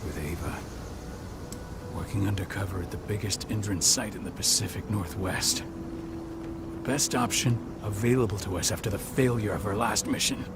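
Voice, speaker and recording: Deep, stern wounded pack leader. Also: male voice, creaky, very noisy. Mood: grave, authoritative. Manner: grave, measured, authoritative. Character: wounded gruff pack leader, stern, commanding, bitter man. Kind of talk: dramatic video game cutscene acting. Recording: music behind the dialogue. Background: loud music.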